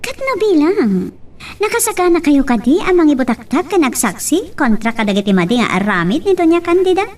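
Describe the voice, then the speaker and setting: A woman with an Ilocano accent acting a spirited, expressive character in an audio drama, with probing, drawn-out questions. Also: sits high. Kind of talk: Ilocano radio-style love drama acting. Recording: slightly distant mic, faint noise.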